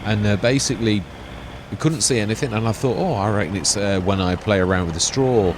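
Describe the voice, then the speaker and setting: Soft-spoken man, rambling game commentary. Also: on the low side, fairly quick. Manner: meandering, self-correcting, chatty. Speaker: gaming channel host. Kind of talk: rambling let's-play commentary. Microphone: laptop gaming setup. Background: noisy computer hum.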